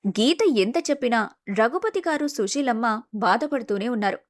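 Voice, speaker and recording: High-pitched woman narrating a story. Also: expressive, normal speed. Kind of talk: scripted dramatic storytelling.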